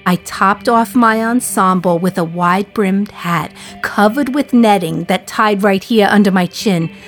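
A woman's voice: mid-range voice, unhurried, faint music behind, close to an everyday voice. A woman playing a wry, defiant condemned prisoner. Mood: wistful. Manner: wry, sardonic, confiding to the listener. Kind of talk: radio play monologue.

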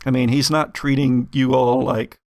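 A man with a creaky, gravelly voice of middling pitch acts as game master. He narrates casually, and the sound is close and dry. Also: flat delivery, moderate pace, no room sound.

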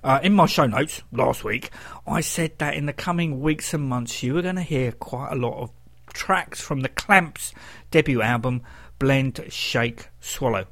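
A man's voice: medium pitch, unhurried, a bit bright. A man presenting a music radio show, talking between tracks as the host.

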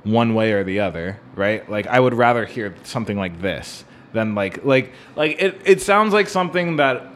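A man's voice: on the low side, expressive, moderate pace, hardly any pauses, a bit bright, a little hiss or hum. A man in a casual music discussion, talking in a rambling way and often checking for agreement with "right?". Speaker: podcast co-host.

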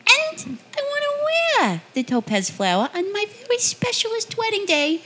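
A woman's voice: extremely high pitch, huge pitch swings, moderate pace, a bit bright, heavily acted. A woman playing a maniacal, sweet-talking murderous bride with gushing, theatrical menace in an improvised comedy radio parody. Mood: gleeful menace.

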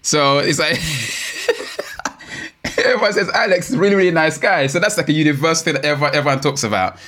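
A man's voice: fairly high pitch, lively intonation, a little fast, pushed and bright. A man from Africa hosting a car show, chatting informally and enthusiastically with his guest. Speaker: host of a car talk show. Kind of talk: casual interview chat.

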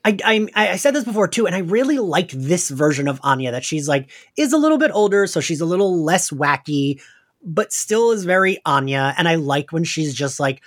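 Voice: medium pitch, big pitch swings, moderate pace. A podcast host talking casually and conversationally, peppering sentences with "like". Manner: conversational, heavy use of "like".

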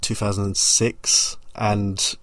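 A man's voice: fairly low pitch, level tone, takes it slowly, fairly bright tone, dry recording. Chatty male podcast host. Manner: chatty, rambling questions. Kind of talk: casual interview.

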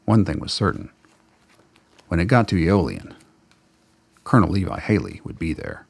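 A man's voice: deep voice, wildly swooping pitch, normal speed, vocal fry, slightly rough. A man reading scripted dramatic narration as the narrator of a radio play.

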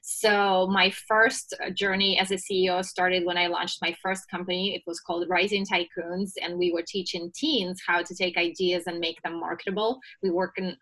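A woman from Russia with a Russian accent, telling her story as a podcast guest with lively energy. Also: lowish voice, rough recording. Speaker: guest, business founder. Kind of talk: podcast interview.

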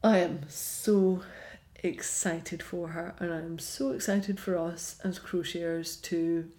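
Woman, podcast host, enthusiastic, chatty, slightly low voice. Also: steady tone, slow, mellow. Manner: enthusiastic, chatty, laughs easily. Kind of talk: casual podcast chat and interview.

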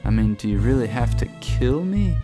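An actor playing a meek, bewildered musician in a radio drama, hesitant and deadpan in the face of death. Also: male voice, mid-range voice, normal speed, natural voice. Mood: pleading. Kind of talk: scripted radio drama dialogue. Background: faint music.

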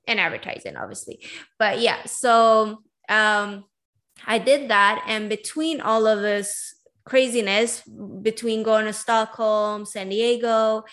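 A young woman, born in Iran and raised in Sweden, talks about her own life on her podcast. She keeps it loose and chatty, with plenty of fillers and asides. Her pitch sits right in the middle for a woman. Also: a bit bright. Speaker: podcast host. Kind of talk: casual personal storytelling.

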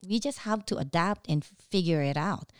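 A woman with a Filipino accent hosts a relaxed podcast, chatting with her husband and laughing often. Her voice is smooth and clear and sits a little low for a woman. The sound is close and dry. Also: moderate pace, dry recording. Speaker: podcast host.

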